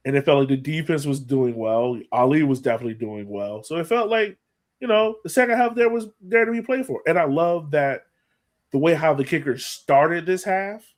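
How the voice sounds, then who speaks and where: Man, slightly high pitch, casual podcast host, match breakdown, animated delivery. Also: normal speed. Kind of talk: casual match analysis chat.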